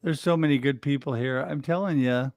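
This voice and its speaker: A man hosting a livestream, chatting casually and telling stories with enthusiasm and quick laughs. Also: mid-range voice, darkish tone, no room sound, highs cut off. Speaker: livestream host. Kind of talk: casual chat.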